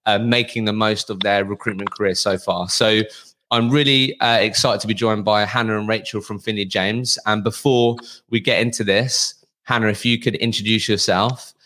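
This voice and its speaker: A man's voice: fairly low pitch, fairly even intonation, normal speed, pushed and bright. A man hosting an interview podcast, talking conversationally with restarts and fillers. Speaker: podcast host.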